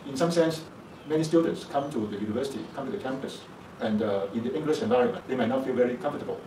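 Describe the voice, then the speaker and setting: A man's voice: medium pitch, quick pace. A male university lecturer whose first language is Chinese, explaining things in an interview with frequent "uh" fillers. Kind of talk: interview for a program promo. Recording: echoey room, distant mic, background noise.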